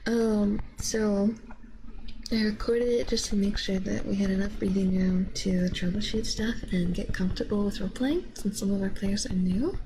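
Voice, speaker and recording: A woman streamer chatting casually and hesitantly with her live viewers in a soft-spoken, giggly way. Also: mid-range voice, slight background noise, rough recording. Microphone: binaural ASMR microphone.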